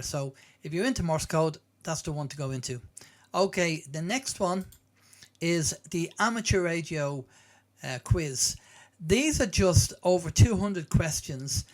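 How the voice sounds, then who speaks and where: Guy doing a casual demo, slightly high voice, explains stuff plainly and goes back over it. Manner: explanatory, plain-spoken, repeats himself. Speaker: presenter demonstrating web apps. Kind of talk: informal screen walkthrough.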